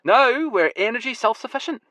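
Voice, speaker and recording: A wild-eyed Glasgow grower with a raspy, high-pitched voice, as a male narrator puts him on. He talks in an eager, proud rush that swings up and down. The storybook reading sounds dry and close. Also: lively intonation, brisk, pushed and bright, no room sound, heavily acted. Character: wiry older Glasgow man, excitable cannabis grower.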